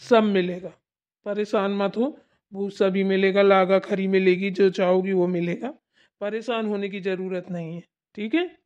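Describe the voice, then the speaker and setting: A steady older village father-in-law played by a performer calmly reassures his crying mother and brushes off the loss. He talks in an even, mid-pitched, soothing voice. The audio sounds dull and lo-fi. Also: unhurried, lightly acted. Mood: reassuring.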